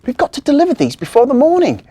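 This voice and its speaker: A storyteller putting on an excitable high-pitched little Christmas elf. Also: fast. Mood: excited. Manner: exclaiming, gushing.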